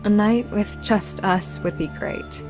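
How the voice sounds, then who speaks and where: A reserved, troubled young heroine from a fantasy visual novel, played by a woman speaks at a medium pitch. Music plays clearly behind her voice. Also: slow, close to an everyday voice. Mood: subdued.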